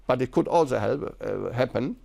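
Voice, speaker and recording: Male academic leader, heavy vocal fry, medium pitch, formal interview, halting measured phrasing. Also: lively intonation, dark tone. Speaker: college rector.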